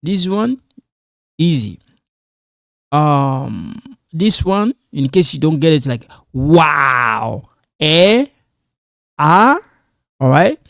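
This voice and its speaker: Slow-talking guy teaching english, not his first language, gravelly voice, keeps asking "all right?". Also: on the high side, big pitch swings, fairly bright tone, dry recording, clean studio sound. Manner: repetitive, checks in with "all right?". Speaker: ESL teacher. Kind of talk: casual classroom explanation.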